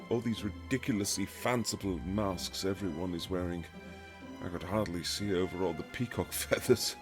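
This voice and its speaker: Man acting a very deep, gravelly Regency husband. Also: exaggerated voice. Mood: relieved, playful. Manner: formal, halting, period-drama phrasing. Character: reserved formal Regency husband, awkwardly tender, softening toward his wife. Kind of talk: romantic boyfriend ASMR audio roleplay.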